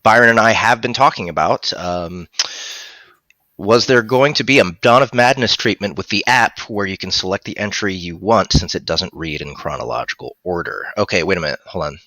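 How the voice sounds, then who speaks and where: A man's voice: deep voice, a little fast, bright, forceful tone, no echo. A man in his thirties chatting casually as he co-hosts a live stream, speaking into a headset mic. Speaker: audiobook narrator and producer co-hosting a live stream. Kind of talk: casual live-stream discussion, reading out viewer questions. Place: indoor room at home.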